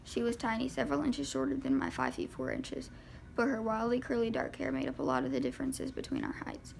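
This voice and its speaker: A woman's voice: fairly high pitch, very animated, a little fast, vocal fry, a little mellow, slight background noise. A woman reading a first-person novel aloud as an amateur, informally and with stumbles over the words. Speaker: amateur audiobook reader.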